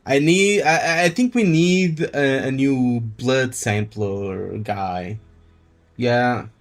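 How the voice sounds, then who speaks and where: A man's voice: mid-range voice, drawn-out words. A man giving casual, wry live commentary as he streams a video game. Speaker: livestreamer.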